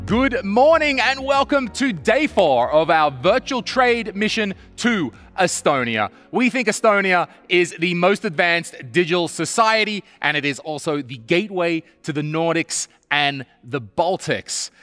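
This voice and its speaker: A man's voice: high-pitched, animated, unhurried, few pauses, a bit bright, big-room sound, soft background music. A man in his forties with an Australian accent, hosting a live virtual trade event from a stage with upbeat energy. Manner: upbeat, gestures while speaking. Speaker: event host. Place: large stage studio with hall reverb.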